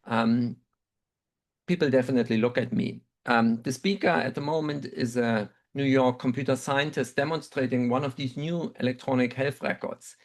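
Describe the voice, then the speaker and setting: A man's voice: medium pitch, steady tone. German-accented man telling a story. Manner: measured, reflective, with frequent ums. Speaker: webinar speaker, dental researcher. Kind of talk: personal storytelling talk.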